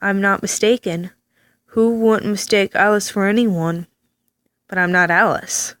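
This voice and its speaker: Woman reading a game with animated reactions. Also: medium pitch, measured pace. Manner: animated, reactive, stammering asides. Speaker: let's play reader.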